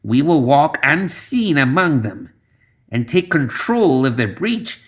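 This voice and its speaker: A man voicing a scheming swamp witch in a fantasy audiobook, with a slow, drawn-out, portentous delivery. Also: on the high side, sing-song, voice pushed up. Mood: ominous, deliberate. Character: Zoraida, scheming deliberate swamp witch woman.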